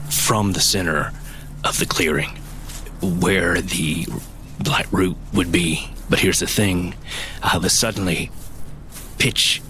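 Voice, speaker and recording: A man playing an earnest cryptid enthusiast, telling his story in a measured, halting way in a fantasy audio drama. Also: sits low, pushed and bright, slight background noise, full character voice.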